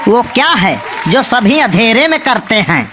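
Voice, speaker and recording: A woman voicing a picky, sharp-tongued cartoon villager who argues with dry retorts in a colloquial Awadhi accent. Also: fairly high pitch, big pitch swings, measured pace, crowd noise, noisy background. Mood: challenging. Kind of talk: comic cartoon dialogue in village dialect.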